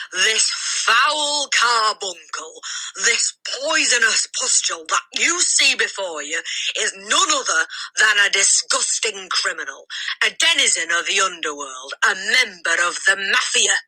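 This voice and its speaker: A woman voicing a furious, bullying headmistress in a children's storybook, barking and shouting drawn-out, contemptuous insults. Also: mid-range voice, expressive, husky, pitched above the performer's own voice, exaggerated voice, low-quality recording. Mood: outraged, denouncing. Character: tyrannical bullying headmistress, booming, furious, theatrical.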